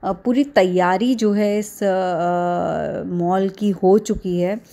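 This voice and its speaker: Female vlogger, 30s, Indian accent. Soft mellow tone, fairly flat intonation, hesitant casual narration. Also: mid-range voice. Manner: hesitant, halting delivery with repeated filler phrases.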